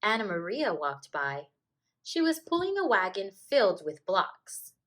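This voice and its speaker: Woman teacher doing storytime read aloud, slow n expressive, really animated pitch. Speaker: teacher reading a picture book aloud.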